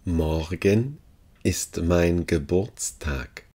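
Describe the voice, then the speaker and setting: Deep-voiced man reading phrases very slowly. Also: mellow. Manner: deliberate, each phrase spoken slowly and repeated. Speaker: language teacher. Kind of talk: slow phrase reading for learners.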